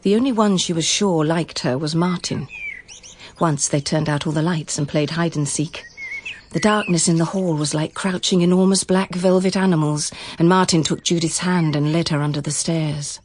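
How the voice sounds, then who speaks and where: A woman's voice: sits low, steady tone, normal speed, darkish tone, slight background noise. A woman with a British accent reading literary, scripted narration for a radio drama.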